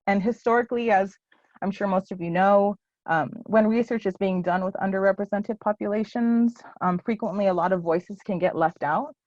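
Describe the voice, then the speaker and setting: A woman's voice: medium pitch, moderate pace, darkish tone, no room sound, muffled recording. A woman giving a casual presentation and answering questions at a virtual symposium, hesitant with many ums and restarts. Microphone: video call.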